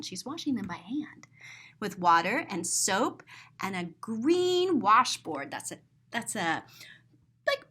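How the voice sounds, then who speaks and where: A woman with a high, very lively voice reads a picture book to young children. She takes her time and is playful and expressive. She often steps out of the story to describe and explain things in simple words. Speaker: storyteller and teacher.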